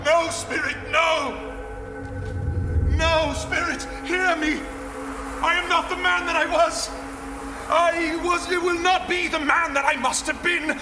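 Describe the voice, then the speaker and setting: An actor playing a frightened, repentant old miser in live radio theater, pleading in a halting, theatrical way. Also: male voice, very high voice. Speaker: lead stage radio actor. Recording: reverberant hall, music behind.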